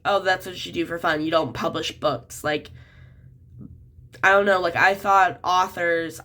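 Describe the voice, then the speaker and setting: A woman's voice: lowish voice, fairly bright tone. Chatty young woman, a little sick. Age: 20s. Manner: chatty, many "um" and "like" fillers. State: sick, voice a bit off. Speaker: children's book author. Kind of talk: casual talk to camera.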